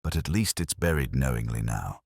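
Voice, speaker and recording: A man voicing a loyal, thoughtful Victorian gentleman, a detective's companion, with careful, questioning phrasing in an audiobook. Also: extremely low pitch, lively intonation, moderate pace, vocal fry, husky, dry recording, clean studio sound, exaggerated voice.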